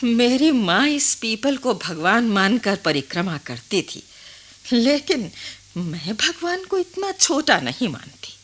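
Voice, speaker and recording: A woman acting an old village grandmother in a Hindi radio drama, calm and philosophical, speaking in a measured, reflective way with dramatic pauses. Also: fairly high pitch, full character voice. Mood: gentle, reflective. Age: older.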